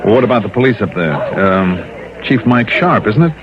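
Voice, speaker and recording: A man's voice: fairly low pitch, animated, moderate pace, natural voice. An American man playing a shrewd, breezy insurance investigator in an old-time radio drama, with a wry, probing, casual way of talking. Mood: probing, insistent. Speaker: lead actor. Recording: dull vintage radio recording, background chatter.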